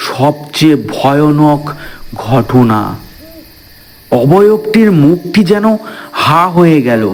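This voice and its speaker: A man's voice: fairly high pitch, a little hiss or hum, rough recording. A man narrating a scripted horror story in a dramatic, suspenseful manner.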